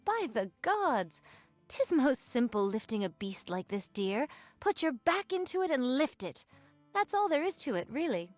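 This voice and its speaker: A woman's voice: high voice, sing-song, measured pace, dry recording, soft background music. A woman voicing a haughty, genteel lady with lofty, archaic diction in an anime-style game. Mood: lofty, exasperated.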